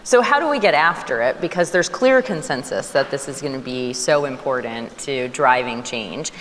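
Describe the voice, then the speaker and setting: A woman with an unusually low voice moderates a panel and poses questions, stumbling lightly over her words. Long hall reverb and faint ambience surround her. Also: expressive, moderate pace, fairly bright tone, a little hiss or hum. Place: conference hall.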